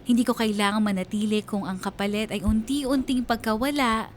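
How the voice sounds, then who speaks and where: A woman voicing a heartbroken letter writer in scripted first-person narration, reflective and emotional, with deliberate phrasing. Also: fairly high pitch, steady tone, a little hiss or hum, close to an everyday voice. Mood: resolved, pained.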